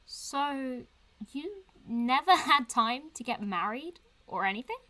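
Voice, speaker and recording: A woman's voice: high-pitched, big pitch swings, slow, bright, forceful tone, full character voice, rough recording. A woman playing a cheeky, strong-minded young woman in an audio drama, talking casually and teasingly like someone making a vlog. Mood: curious, friendly. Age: young adult. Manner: chatty, teasing, informal.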